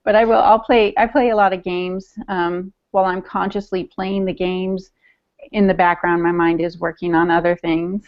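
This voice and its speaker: A woman's voice: lowish voice, flat delivery, normal speed. A middle-aged American woman chatting casually as a podcast co-host over a video call. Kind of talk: casual chat. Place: home room. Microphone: earphone headset on video call. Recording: dull, lo-fi video call audio.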